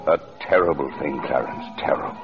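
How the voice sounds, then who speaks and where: A man playing a senior angel who narrates gravely as a storyteller in 1940s radio drama. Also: very deep voice, a little fast, music in the background. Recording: muffled old radio broadcast.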